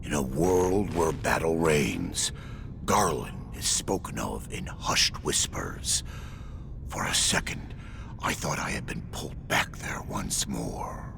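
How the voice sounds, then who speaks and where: Give this one a grandiose, melodramatic warrior from a video game. He is gravelly and slow, with boastful flourishes that swing from hushed to booming. Loud ambient noise sits behind the voice. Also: big pitch swings, full character voice. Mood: wounded pride. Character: bombastic theatrical male warrior wandering between dimensions, boastful and melodramatic. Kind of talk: theatrical video game cutscene acting.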